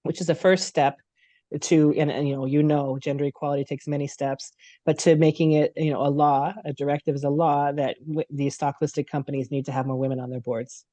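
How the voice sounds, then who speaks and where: A woman with a cold leads a webinar welcome from her apartment over a video call. Her voice sits mellow and dark, and the sound is close and dry. She stays chatty and speaks straight to her listeners. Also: sits low, little pitch movement, a little fast, dry recording. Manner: conversational, speaks straight to the audience. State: has a cold. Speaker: CEO of a nonprofit.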